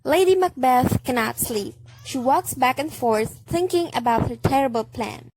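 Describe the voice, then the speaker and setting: A woman's voice: high-pitched, animated, moderate pace, darkish tone, rough recording. A woman acting as the storyteller narrator of a radio drama, reading scripted scene descriptions. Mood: measured.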